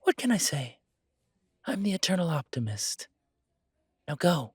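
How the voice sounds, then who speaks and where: A chirpy male fantasy shopkeeper in an audio roleplay, prim, polite and fluttery. The pitch sits high and swoops about, with little stammers, in a dry, close recording. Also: very animated, a little slow, husky, dry recording, heavily acted. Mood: hopeful, encouraging. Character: fussy, chirpy pointy-eared male shopkeeper, cheerful optimist.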